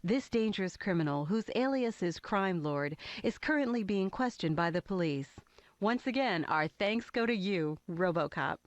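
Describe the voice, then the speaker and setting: An actress playing a female TV news anchor with a measured newsreader delivery. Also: mid-range voice, animated, dry recording, close to an everyday voice. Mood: newsreading.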